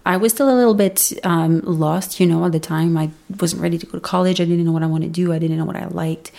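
A woman's voice: fairly low pitch, fairly even intonation, quick pace, creaky, mellow. A young woman with a Québécois French accent, a native French speaker from Montreal, telling her story as a podcast guest in a reflective, casual way. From Montreal, Canada. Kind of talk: casual interview talk.

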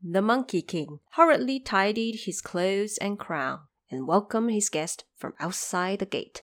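Expressive woman narrating a fantasy tale. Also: mid-range voice, measured pace. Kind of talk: scripted storytelling narration of a fantasy classic.

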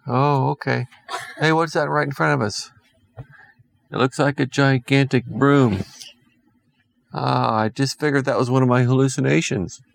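A man voicing an excitable, unhinged male space crewman in a bedtime story, talking in a jittery rush. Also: medium pitch, normal speed, gravelly, pauses often, voice pushed up, natural voice. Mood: frantic.

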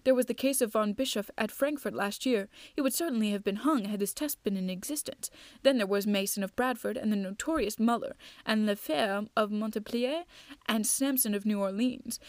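A woman voicing an eager, proud gentleman detective in a storybook reading, brisk and self-assured. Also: on the high side, no echo, lightly acted. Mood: self-satisfied.

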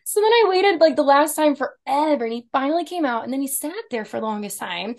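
A woman in her thirties with a fairly deep voice, telling a dating story in casual chat and acting out the quotes. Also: fast talker, creaky. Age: 30s. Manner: animated storyteller, acts out quotes, laughs often. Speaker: podcast guest, esthetician.

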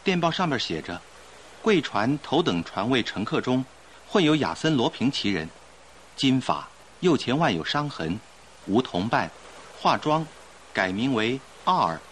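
An actor playing a suave, knowledgeable young gentleman in an old-style radio drama. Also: medium pitch, sing-song, unhurried, slight background noise. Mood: informative, grave.

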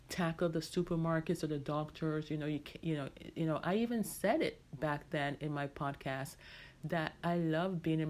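Middle-aged woman, lower-pitched, reflective podcaster. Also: a little mellow. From Jamaica. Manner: reflective, conversational, repeats phrases for emphasis. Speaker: podcast host. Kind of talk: casual personal monologue.

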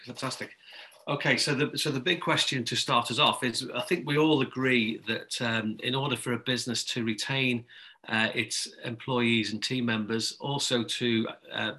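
Bright-voiced male webinar host. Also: mid-range voice. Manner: friendly, joking, loosely phrased. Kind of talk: hosting a webinar panel. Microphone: video call.

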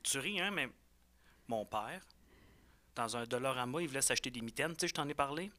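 A man with a Quebec French accent and a bright, forward voice at a middle pitch, recorded close and dry. He talks like a podcast co-host telling stories to a friend, animated and slangy, with swearing thrown in. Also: fairly quick, no echo. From Quebec, Canada. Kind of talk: casual chat and storytelling.

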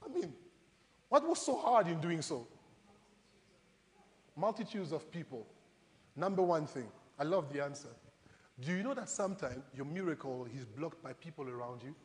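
A man's voice: on the high side, big pitch swings, normal speed, pauses often. A man preaching in a conversational way, putting rhetorical questions straight to his congregation and telling Bible stories. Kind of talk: animated sermon with acted-out Bible stories. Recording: reverberant hall, long echo.

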